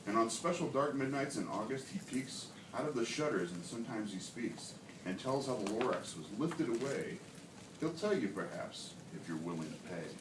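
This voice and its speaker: A man reading a rhyming storybook aloud in a measured, theatrical way to children in a classroom. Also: mid-range voice. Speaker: guest reader for a children's class. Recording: echoey noisy room, distant mic, background clatter.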